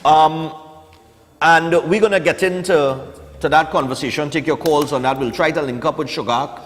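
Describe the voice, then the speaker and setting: A man's voice: a little high, normal speed, slight background noise. Trinidadian man in his forties, reverberant room. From Trinidad and Tobago. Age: 40s. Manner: conversational, opinionated, peppered with "eh" and "you know". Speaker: morning television show host. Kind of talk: casual live talk and newspaper review. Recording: long hall-like reverb on the voice.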